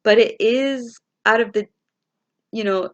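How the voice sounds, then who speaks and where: A woman with a raspy voice this morning, talking to viewers in a casual, musing way as a spiritual vlogger. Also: a little high, dull audio. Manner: slow, musing, repeats and restarts phrases. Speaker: spiritual vlogger and tarot reader.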